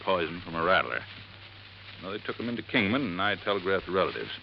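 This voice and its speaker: An actor playing an earnest, folksy American resort handyman in 1950s radio drama, telling things in a rambling, unhurried way. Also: deep voice, very animated, creaky, heavily acted. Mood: troubled, earnest. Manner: folksy, rambling storytelling with dropped formal grammar. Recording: dull, slightly noisy vintage radio recording.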